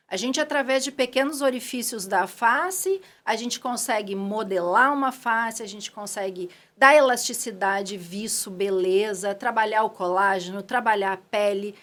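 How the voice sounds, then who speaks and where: A middle-aged woman with a Gaúcho accent talks as a podcast guest. Her voice sits a bit high, and she chats loosely, restarting sentences and tagging them with little asides. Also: fairly bright tone. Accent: Gaúcho Brazilian Portuguese. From Rio Grande do Sul, Brazil. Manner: chatty, with frequent restarts and "né" tags. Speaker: guest, clinic owner. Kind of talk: casual interview talk.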